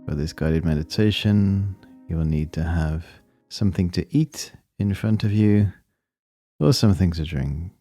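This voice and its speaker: A man leading a guided meditation, speaking in a calm, measured and gently instructing manner. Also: low voice, no room sound, soft background music.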